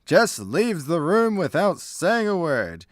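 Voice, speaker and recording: A man narrates a comedy radio play from a script. His delivery is animated and unhurried, in a fairly high voice recorded dry and close. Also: no room sound.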